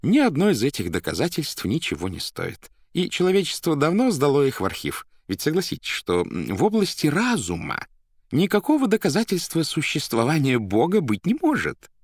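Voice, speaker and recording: A man's voice: a little high, wildly swooping pitch, moderate pace, no echo, clean studio sound, pitched above the performer's own voice, heavily acted. A man voicing an educated, self-assured editor with a lecturing, condescending manner. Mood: smug regret. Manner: lecturing, emphatic.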